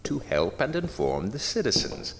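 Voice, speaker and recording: An actor playing a genial small-town library director in an audio horror drama, smooth-talking and chuckling. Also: male voice, mid-range voice, huge pitch swings, normal speed, noisy background. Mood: affable.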